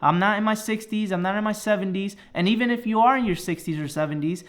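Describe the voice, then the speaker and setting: A man in his thirties, originally from the Dominican Republic, speaks straight to his viewers. His voice sits fairly high for a man. He delivers an earnest, encouraging talk and keeps checking in with a quick "okay?" after each point. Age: 30s. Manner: earnest and motivational, ends phrases with "okay?". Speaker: personal finance YouTuber. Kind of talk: motivational talk to camera.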